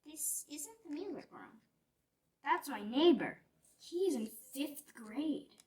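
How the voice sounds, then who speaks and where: A woman voicing a sensible, helpful kindergarten girl in a children's storybook, speaking in a hushed, tactful way. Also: high voice, animated, slow pace. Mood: hushed, doubtful. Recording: distant mic with music behind.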